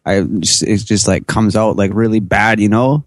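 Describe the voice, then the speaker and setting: A man's voice: lowish voice, fairly even intonation, normal speed, no room sound, studio quality. A man in casual podcast chat, teasing his co-host and laughing easily.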